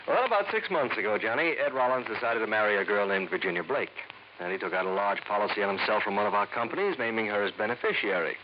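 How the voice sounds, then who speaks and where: A man's voice: fairly low pitch, expressive, quick pace, lightly acted. An American man playing a businesslike, slyly teasing insurance office man in a 1950s radio drama. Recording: dull old radio recording, faint noise.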